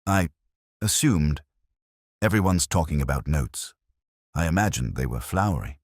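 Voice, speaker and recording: A man voicing a brisk, defensive village woman in a mystery story, snappy and indignant. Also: a bass voice, animated, dry recording, studio quality. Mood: wary.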